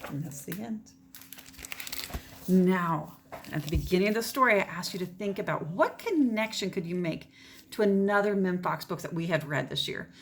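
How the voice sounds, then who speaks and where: A woman's voice: on the low side, expressive, moderate pace, slight background noise. A woman teacher reading a children's storybook aloud in a dramatic manner, often dropping toward a whisper.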